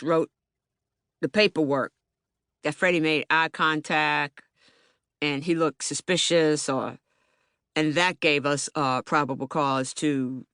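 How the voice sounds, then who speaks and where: A woman's voice: low voice, a bit bright, no room sound, voice pushed down. Woman acting emphatic streetwise man, close and dry. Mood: grave, deliberate. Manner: emphatic, colloquial, repetitive, stammering on words. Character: agitated, streetwise young Baltimore man, eyewitness bystander. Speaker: actor in documentary theater. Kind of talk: dramatic monologue, audiobook theater.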